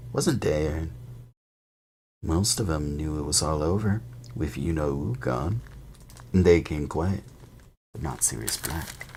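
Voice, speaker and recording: A man voicing a chatty young male bus conductor, loud and eager in his gossip. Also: rumbling low, a little hiss or hum, full character voice. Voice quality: loud, eager, talks in rapid bursts.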